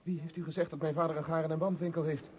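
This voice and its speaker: An actor in a radio play voicing an anxious, questioning younger man, with a raspy, breathy voice and hurried, stammering speech. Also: on the high side, flat delivery, darkish tone, lo-fi sound, slight background noise, close to an everyday voice. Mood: bewildered, agitated.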